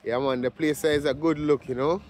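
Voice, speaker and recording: A Jamaican man with a slightly raised voice, talking casually and warmly as he travels and greets people. He uses a lot of slang and laughs easily. A faint hum of the outdoors sits behind him. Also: a little high, steady tone, a little fast. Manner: friendly, slangy, laughs often. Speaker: travel vlogger. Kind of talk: casual travel vlog chat.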